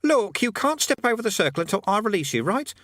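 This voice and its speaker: A man voicing a scrawny, excitable male demonologist who commands in stammering, pompous archaic words. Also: high voice, fast talker, no echo, clean studio sound, voice pushed up. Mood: excited, pompous. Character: scrawny excitable middle-aged male demonologist, mad and pleading. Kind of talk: audiobook character voice.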